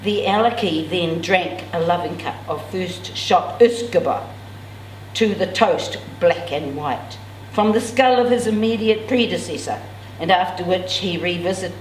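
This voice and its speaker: Older woman reading aloud in a roomy hall. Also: on the low side. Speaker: amateur reader at a literary event. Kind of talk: expressive read-aloud of a novel. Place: indoors, in a function room. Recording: roomy, distant mic, clear background noise.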